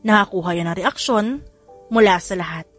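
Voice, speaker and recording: A woman's voice: mid-range voice, normal speed, fairly bright tone, soft background music. A woman giving scripted narration of a romance audiobook.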